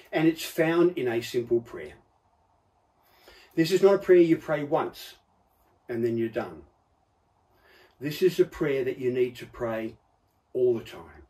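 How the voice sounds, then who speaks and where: A man in his fifties gives a conversational sermon in a mellow, relaxed voice. He explains each point with deliberate stresses and pauses. The microphone sits a little way off from him. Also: medium pitch. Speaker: preacher. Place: book-lined study or office indoors. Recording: slightly distant microphone.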